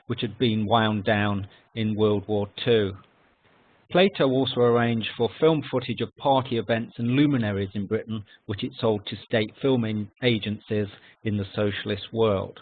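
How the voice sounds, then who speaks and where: Formal male lecturer on a phone-like line. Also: mid-range voice. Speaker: editorial consultant giving a lecture. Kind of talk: scripted history lecture with slides. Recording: narrow phone-like online call audio.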